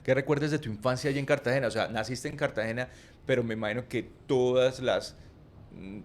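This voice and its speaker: Host dude, chatty and curious, keeps asking follow-ups, normal middle pitch. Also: male voice, fairly even intonation, brisk. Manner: curious, friendly, prompting with follow-up questions. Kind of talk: interview questions in casual chat.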